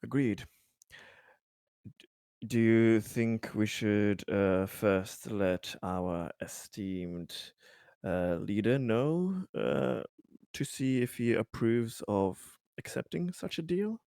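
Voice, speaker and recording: Guy in a tabletop game, real slow n low, polite, keeps hesitating, dry close sound. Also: gravelly, warm tone, no room sound. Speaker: roleplaying game player. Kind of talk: improvised in-character tabletop discussion.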